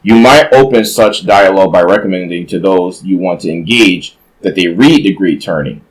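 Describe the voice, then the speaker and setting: Man reading a book aloud, low voice. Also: rough recording. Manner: measured reading with occasional self-corrections.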